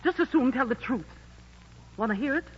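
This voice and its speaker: A bold young woman snaps back with sass and defiance in a husky, high voice. It is 1940s radio acting, heard on an old broadcast that sounds dull and muffled. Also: lively intonation, a little fast, long reverb tail. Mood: defiant. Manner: sassy, defiant.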